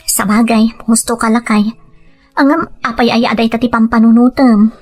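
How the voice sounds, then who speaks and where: A woman's voice: fairly high pitch, flat delivery, moderate pace, darkish tone, soft background music, lightly acted. A woman playing a caring, worried wife with an Ilocano Filipino accent in a sentimental audio drama. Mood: concerned. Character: caring, worried young working-class wife.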